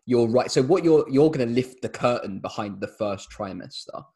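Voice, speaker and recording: A young man with a slightly husky, breathy voice, co-hosting a podcast. He talks casually into a studio microphone and lands teasing jokes in a dry, deadpan way. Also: mid-range voice, moderate pace. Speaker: podcast co-host. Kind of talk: casual comedic chat.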